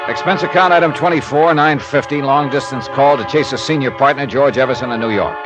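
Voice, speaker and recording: An actor playing a tough but sympathetic insurance investigator in a 1950s radio detective drama, with an American accent and a clipped, wry delivery. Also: male voice, medium pitch, a little fast, over music, lightly acted. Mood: brisk, official. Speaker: lead actor and narrator. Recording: hissy vintage radio recording.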